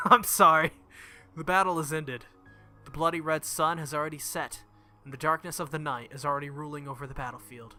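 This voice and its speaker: A man's voice: a little high, fairly even intonation, moderate pace. A man hosting a game playthrough, reading the story aloud dramatically with casual reactions and the odd stumble over words. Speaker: let's play host reading a visual novel aloud. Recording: loud game music behind the voice. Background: game music.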